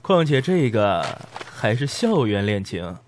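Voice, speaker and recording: A man's voice: lowish voice, very animated, a little slow, noisy background. A man playing a chummy, teasing young finance manager in an audio drama, with playful delivery. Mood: amused, teasing. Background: background music.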